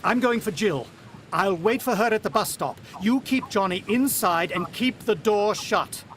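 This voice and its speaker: A worried country father in a vintage radio drama. His voice sits high for a man and moves widely in pitch. He narrates with grave suspense and speaks to his family with anxious urgency. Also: expressive, measured pace, fairly bright tone, slight background noise. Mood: uneasy, urgent.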